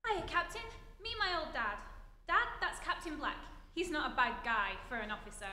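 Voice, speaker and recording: A woman plays a breezy, cheeky spaceship crewman in a vintage radio play. The voice is high, extremely bright and bouncy. It echoes in a large hall. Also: sing-song, normal speed, heavily acted. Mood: breezy, happy. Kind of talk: old-time science fiction radio play acting. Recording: echoey hall, live stage recording.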